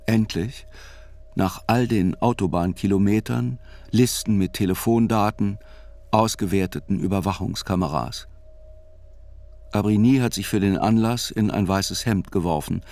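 Male narrator, lowish pitch, scripted literary reading, measured, deliberate, articulate. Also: a little mellow.

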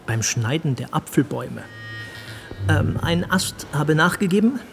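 An actor playing a sceptical, matter-of-fact doctor with a sardonic edge in a naturalistic radio drama. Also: male voice, a little high, expressive, unhurried, vocal fry, soft background music. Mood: sceptical, wry. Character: sceptical male doctor briskly reporting a suspicious case.

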